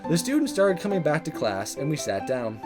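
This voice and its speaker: Casting: male let's player, animated delivery, reading and recapping a visual novel over music. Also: on the high side, a little fast. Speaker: let's play host. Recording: music playing under the voice.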